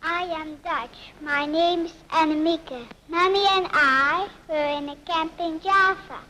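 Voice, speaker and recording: A woman's voice: very high voice, steady tone, measured pace, bright, forceful tone, a little hiss or hum, full character voice, low-quality recording. A female performer as a solemn little Dutch girl, telling her story simply and carefully in 1940s film narration.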